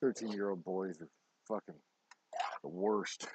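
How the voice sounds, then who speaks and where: Hoarse blunt middle-aged man. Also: sits low, steady tone, unhurried, very noisy. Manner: blunt, preachy, swears freely. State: hoarse, sore throat. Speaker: distillery owner. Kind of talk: casual vlog monologue while working. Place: distillery bottling room.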